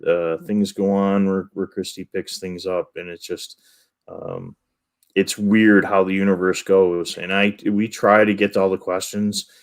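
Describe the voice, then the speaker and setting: A man co-hosting a live show, addressing viewers in halting, rambling talk full of stammered restarts. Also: low voice, level tone. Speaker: co-host reading chat questions.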